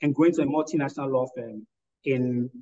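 A man's voice: medium pitch, warm tone, highs cut off. A man from Ghana, a lawyer and entrepreneur, answering interview questions in an articulate, analytical way. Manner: articulate, analytical, measured with fillers.

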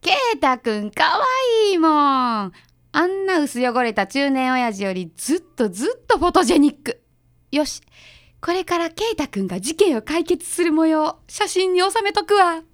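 A woman's voice: high-pitched, very animated, moderate pace. A woman playing a breezy, confident detective's assistant with a Kansai accent in a chatty radio-drama style. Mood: excited, scheming. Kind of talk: radio drama acting, conversational.

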